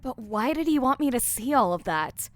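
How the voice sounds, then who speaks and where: A woman's voice: fairly high pitch, very animated, normal speed, no room sound. A woman playing a grieving but determined survivor heroine in an audio horror drama. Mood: puzzled. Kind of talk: dramatized fan fiction audio acting.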